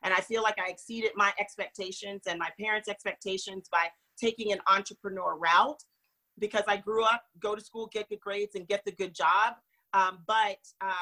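A woman from North Carolina, raised in North Florida, telling her life story as an interview guest in an earnest, expressive way, laughing easily. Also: medium pitch, brisk, rough recording. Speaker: guest, magazine founder.

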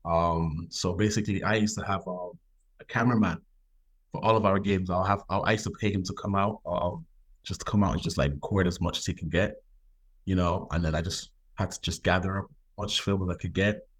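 Relaxed young male guest from Ireland, somewhat low voice, easygoing unhurried answers. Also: slightly rough. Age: young adult. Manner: relaxed, laid-back, many ums and "you know". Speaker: guest football player. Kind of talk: casual interview answers.

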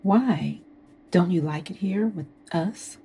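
An actress playing a flirtatious, scheming married woman of wealth in an audio drama, with a husky, breathy voice and coaxing manner. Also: lowish voice, takes it slowly, warm tone, exaggerated voice. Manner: seductive, coaxing. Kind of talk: modern audio drama acting.

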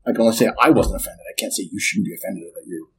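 A man's voice: on the low side, rapid-fire, fairly bright tone, breathy edge. A man co-hosting a film podcast, chatting casually and joking, with plenty of "like". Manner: joking, heavy use of "like". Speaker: podcast co-host.

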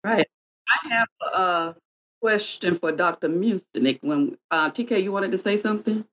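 A woman's voice: mid-range voice, normal speed, a bit bright. A woman moderating a panel discussion, asking long, conversational questions. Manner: conversational, asks questions at length. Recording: dull, muffled audio.

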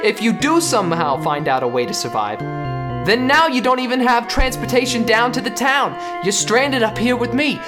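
A man's voice: very high-pitched, big pitch swings, normal speed, music in the background. A man playing a taunting, calculating murderer in a radio play, smug and menacing as he goads his opponent. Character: taunting, calculating male killer villain. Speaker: actor in an audio drama. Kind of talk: radio theater acting.

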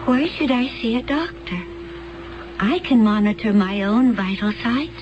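A woman's voice: a little high, expressive, unhurried. An actress in a 1970s radio drama playing a mysterious young woman, detached and wondering, with formal phrasing. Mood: aloof. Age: young adult. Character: mysterious, dazed young woman accused of killing. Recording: muffled old radio, music behind.

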